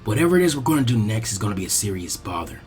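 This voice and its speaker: Be a man voicing a lazy teenage ninja strategist in an anime tale, low and dismissive, everything a bother, music faint behind. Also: big pitch swings, very fast. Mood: bored, dismissive.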